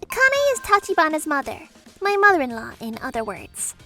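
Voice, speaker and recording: A woman voicing an anxious young newlywed wife in a manga dub, expressive and easily flustered. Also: high-pitched, fairly quick, faint music behind, full character voice. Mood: narrating, mildly troubled. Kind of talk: manga dub first-person narration and dialogue.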